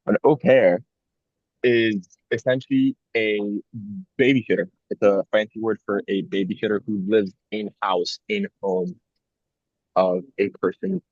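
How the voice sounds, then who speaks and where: A young man with a fairly low voice talks through his experiences in a loose, reflective podcast chat, often leaning on "like" as he thinks. The sound is dry and slightly dull, close and without room echo. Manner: rambling, reflective, frequent "like" fillers. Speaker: podcast co-host.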